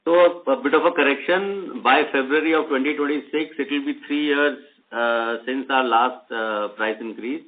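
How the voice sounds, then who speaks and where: Indian man, company executive on an earnings call, medium pitch, explanatory and hesitant, narrowband line. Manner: halting, measured, explanatory. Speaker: company executive answering analysts' questions. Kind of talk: unscripted earnings call answers. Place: conference call. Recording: narrowband phone-line audio.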